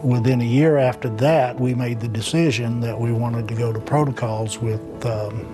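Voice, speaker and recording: A man's voice: mid-range voice, steady tone, normal speed. A man recounting his experience as an interviewee in a documentary. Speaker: interviewee, emergency dispatch center director. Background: music bed.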